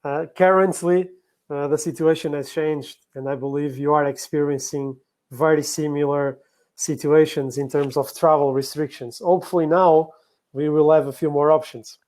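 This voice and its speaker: A man from Portugal with a Portuguese accent giving a business presentation, explaining point by point in a somewhat halting way. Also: on the high side, fairly even intonation, a little mellow. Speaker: conference speaker, operational excellence professional.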